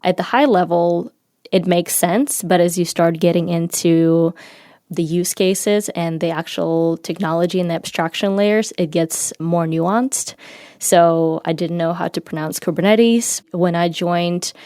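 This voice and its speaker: A young woman from Ukraine, a native Ukrainian speaker, speaking as a podcast guest in a measured, reflective manner. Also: lowish voice, steady tone, mellow, dry recording, clean studio sound. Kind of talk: casual interview talk.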